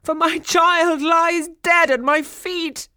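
A woman voicing a distraught storybook king, a pleading father, in a loud, wailing manner. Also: extremely high pitch, fairly even intonation, slow, no room sound, clean studio sound, voice pushed up, full character voice. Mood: anguished.

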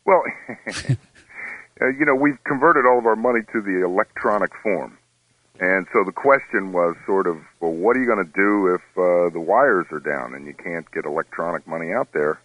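A man's voice: low voice, moderate pace, no echo, phone-quality sound. A man co-hosting a radio show as its money expert, talking with dry, wry humor. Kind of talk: casual radio chat.

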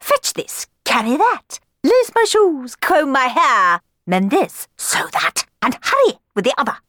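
A woman voicing two shrill, spoiled young stepsisters who boss their sister about in a storybook reading. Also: wildly swooping pitch, slow, no room sound, clean studio sound, exaggerated voice. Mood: bossy.

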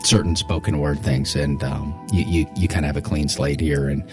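A man co-hosting a podcast, chatting casually and thinking aloud in a halting way. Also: extremely low pitch, flat delivery, music in the background. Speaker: podcast co-host. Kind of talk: casual chat.

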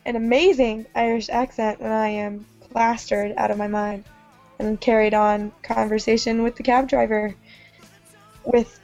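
A woman's voice: a little high, darkish tone, highs cut off. A young woman guest host on a comedy podcast, hesitant and laughing things off as she tells stories. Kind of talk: casual chat.

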